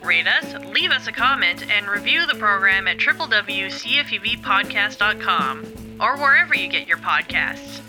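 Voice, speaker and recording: A woman's voice: medium pitch, lively intonation, moderate pace, rough recording. A woman reading scripted podcast credits as a producer and announcer. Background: music.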